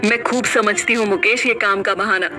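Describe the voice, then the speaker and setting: A grave, scolding aunt, acted by a woman in an animated horror story. She speaks sternly over background music. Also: mid-range voice, moderate pace, close to an everyday voice. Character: stern older aunt, harsh and reproachful.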